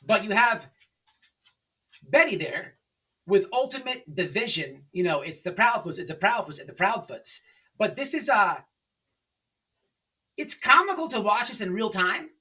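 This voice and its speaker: Man, slightly high voice, sarcastic opinion host, emphatic repetition, a bit off the mic. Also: sharp tone, far from the mic. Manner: halting, repetitive, emphatic delivery. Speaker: online commentary show host. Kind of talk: informal opinion commentary.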